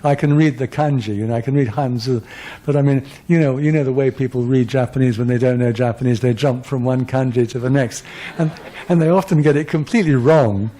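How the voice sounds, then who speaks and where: A man's voice: medium pitch, a little fast, hardly any pauses, dark tone. A man in his seventies, a guest lecturer answering audience questions in a wry, rambling, self-deprecating way. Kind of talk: conversational lecture Q&A.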